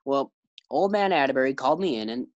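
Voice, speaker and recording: Casting: a woman doing an eager, bumbling young husband for a 1940s radio sitcom, very low, lively delivery, dry close sound. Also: fast, dry recording, lo-fi sound. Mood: playful. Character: young husband George, eager bank clerk.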